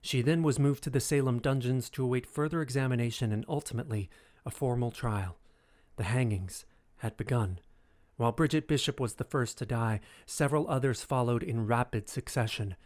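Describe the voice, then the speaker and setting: A man narrating a dark historical audio drama as a solemn, scripted storyteller. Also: mid-range voice, fairly quick, lightly acted.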